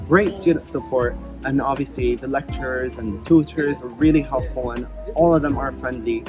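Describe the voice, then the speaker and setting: A young man from Kuwait, a medical student, talking casually with lots of ums and pauses. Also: mid-range voice, low-quality recording. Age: young adult. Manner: relaxed, hesitant, many fillers. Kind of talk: casual vlog talk.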